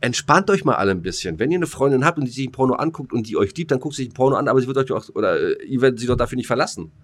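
A man's voice: medium pitch, lively intonation, racing. A man co-hosting a podcast in casual, slangy, rambling and jokey banter. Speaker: podcast co-host. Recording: music playing under the voice.

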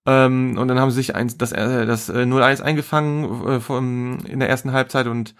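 A man co-hosting a podcast, slightly hoarse, talking in a lively, colloquial way and laughing easily. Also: medium pitch, moderate pace. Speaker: podcast co-host. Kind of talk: casual chat.

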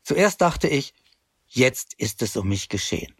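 A man voicing a grown man who narrates his guilt-ridden youth in a radio drama, measured and pious in his telling. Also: medium pitch, very animated, a bit bright, husky, no room sound, studio quality, exaggerated voice. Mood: remorseful. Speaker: first-person narrator.

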